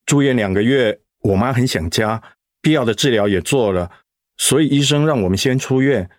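A man voicing a dutiful middle-aged son and schoolteacher in a radio drama, speaking in a steady, subdued way. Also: medium pitch, normal speed, dry recording, clean studio sound, natural voice. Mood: warm.

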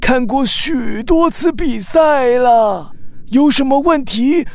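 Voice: high voice, takes it slowly, gravelly, a little mellow, dry recording, full character voice. An actor playing a kindly, chatty old sea snail uncle in a children's audio drama, with hesitant, folksy delivery. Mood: friendly, welcoming.

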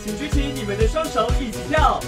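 Casting: actress for cheery children's TV music host, slightly high, off-mic under loud music. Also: female voice, a little fast, recorded from a distance, lightly acted. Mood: cheerful. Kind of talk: upbeat TV presenting in audio drama.

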